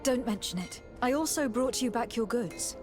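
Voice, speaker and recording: An actress playing a noble young diplomat adventurer in a fantasy game, formal, composed and earnest. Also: female voice, a little high, normal speed, natural voice. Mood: polite. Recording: reverberant hall, music underneath.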